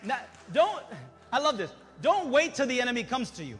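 A man's voice: very high voice, big pitch swings, moderate pace, a bit bright. A man in his thirties preaching a sermon in a fervent, exhorting way from a church stage. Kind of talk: sermon with pauses for interpreter. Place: church stage before a congregation. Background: worship music.

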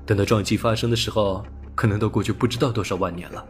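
A man's voice: fairly low pitch, steady tone, fast. A man reading a science fiction audiobook as narrator, in a scripted, dramatic storytelling style. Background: music.

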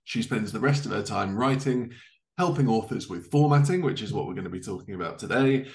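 A man's voice: on the low side, fairly quick, a little mellow. A man in his thirties presenting a podcast in a chatty way from a living room at home. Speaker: podcast host.

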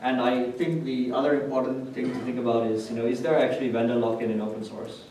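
A man presents technical material to a conference room in an explanatory way. His voice sits at a middle pitch and is picked up from a distance, with very heavy room echo and faint noise behind him. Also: flat delivery, quick pace, a little mellow. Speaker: conference speaker. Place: indoor hotel conference room with projector screen. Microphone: distant camera microphone.

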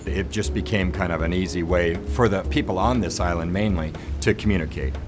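A low-pitched male voice in an informal documentary interview, explaining as a ministry narrator. Clear guitar music plays behind him. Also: a little fast.

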